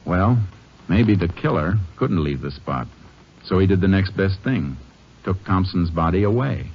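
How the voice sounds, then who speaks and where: An actor playing a calm, methodical Texas Ranger detective in a 1950s radio drama, reasoning in a plainspoken way. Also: male voice, low-pitched, a little slow, exaggerated voice. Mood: thoughtful. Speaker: Texas Ranger lead and narrator. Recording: dull lo-fi 1950s radio broadcast.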